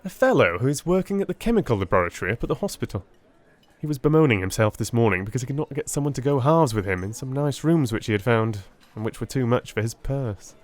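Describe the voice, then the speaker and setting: A man voicing a hesitant, gossipy young gentleman with emphatic, animated delivery in a dramatised Victorian audiobook. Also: medium pitch, quick pace, lightly acted. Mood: chatty.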